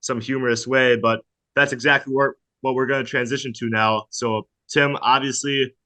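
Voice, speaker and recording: Male sports-broadcaster interviewer, bright forward tone, mid pitch, polished and prepared delivery. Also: fairly even intonation, moderate pace.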